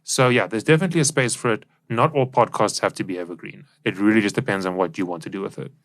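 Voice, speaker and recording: A man co-hosts a podcast with a low voice and a very dry, close sound. He explains in a plain-spoken, emphatic, conversational way. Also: quick pace, a bit bright, no room sound. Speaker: podcast co-host and producer.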